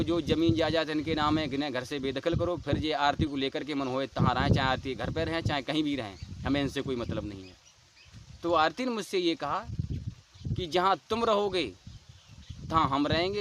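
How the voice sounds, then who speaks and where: Higher-voiced rural Uttar Pradesh man, noisy recording. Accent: western Uttar Pradesh rural Hindi. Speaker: dholak player. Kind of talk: personal account told to camera.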